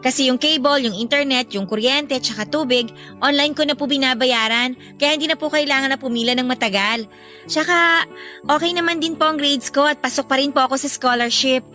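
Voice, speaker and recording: Casting: Filipino student big sister in radio drama, expressive, high and bright, resentful streak, light music behind. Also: female voice, fairly quick. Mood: dutiful. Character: resentful, dutiful older sister, a young student.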